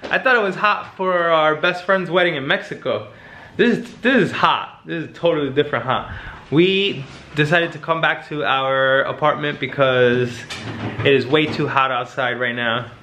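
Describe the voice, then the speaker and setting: A man's voice: a little high, normal speed, bright, forceful tone, slight background noise. A man in his thirties talking casually to a handheld camera while travelling, chatty and upbeat. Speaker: travel vlogger. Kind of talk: casual vlog talk to camera. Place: city streets, car and apartment while travelling. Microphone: handheld camera at arm's length.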